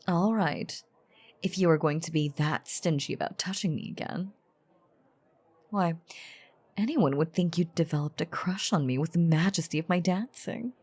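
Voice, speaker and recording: An elegant, ancient vampire lady, played by a woman, speaks low and slowly, every word cleanly shaded with a husky edge. She is teasing and coaxing, with theatrical, courtly phrasing. It is a roleplay audio drama with soft music underneath. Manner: theatrical, archaic, velvety formal phrasing.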